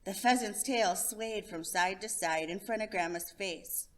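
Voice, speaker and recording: A woman hosting a story time, reading a children's picture book aloud in an expressive narration. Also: mid-range voice, measured pace. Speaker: storytime host and reader.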